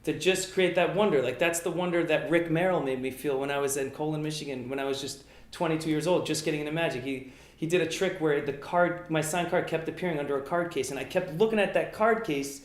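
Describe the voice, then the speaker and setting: A man's voice: a little high, fast, echoey room. A man whose first language is Italian, being interviewed about his career and talking with eager energy and many "you know"s. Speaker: magician guest. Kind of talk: casual interview.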